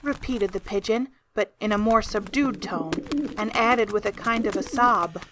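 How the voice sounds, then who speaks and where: A woman reading scripted storybook narration for an audio drama, as an expressive storyteller. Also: mid-range voice, a little slow, a little hiss or hum, low-quality recording. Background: music.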